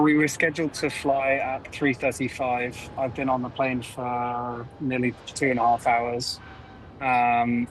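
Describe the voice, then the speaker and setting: A man's voice: mid-range voice, a bit bright, slight background noise, poor audio. A man giving a spontaneous account as an airline passenger. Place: aboard a parked plane.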